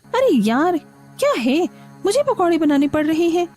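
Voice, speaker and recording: A woman's voice: extremely high pitch, big pitch swings, normal speed, a little mellow, faint music behind, pitched above the performer's own voice. A woman voicing a lazy, entitled young female houseguest in a cartoon story, whining and ordering others around. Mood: grumbling.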